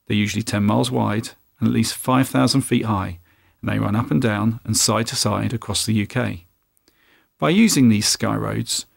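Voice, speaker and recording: Man presenting, with a gravelly edge. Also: mid-range voice, no room sound, studio quality. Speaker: presenter of a corporate explainer. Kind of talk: scripted narration to camera. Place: studio, indoors.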